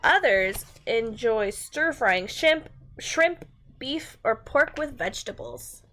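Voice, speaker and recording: A woman's voice: on the high side, animated, takes it slowly, fairly bright tone. A woman reading a picture book aloud to young children and chatting to them in simple, encouraging language.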